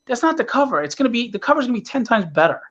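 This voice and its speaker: A man's voice: extremely high pitch, very animated, rapid-fire, a bit bright, dull audio. A man chatting casually as a guest about his own creative work, in an emphatic way with short repeated phrases. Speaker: comic book creator, guest. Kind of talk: casual chat promoting own book.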